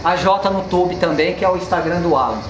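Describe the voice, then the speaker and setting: High-voiced Brazilian man in echoey garage. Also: a little fast. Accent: Brazilian Portuguese. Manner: explanatory, gestures at the car while talking. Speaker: mechanic and channel host. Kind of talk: casual workshop vlog talk. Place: indoor car repair workshop. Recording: echoey workshop with music underneath.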